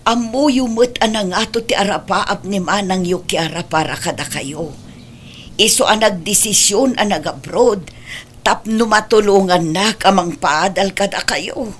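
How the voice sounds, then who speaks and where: A woman playing a worried, sorrowful middle-aged mother in a Filipino radio drama, pleading and blaming herself in a husky voice. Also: mid-range voice, measured pace, a little hiss or hum, natural voice. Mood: reproachful. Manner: pleading, lamenting.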